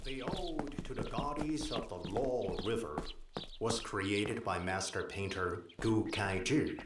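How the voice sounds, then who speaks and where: An actor playing a formal, dry lecturer reciting facts about art in a didactic way, in a radio drama. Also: male voice, lowish voice, takes it slowly. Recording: echoey, distant, noisy with birdsong.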